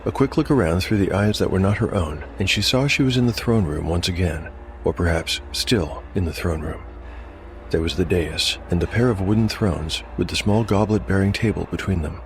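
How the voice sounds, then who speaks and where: A man narrating a scripted dark fantasy tale as game master, with measured storytelling delivery. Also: low-pitched, slight background noise. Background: music.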